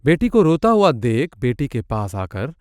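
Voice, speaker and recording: Animated male cartoon story narrator. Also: medium pitch, measured pace, smooth, dry recording.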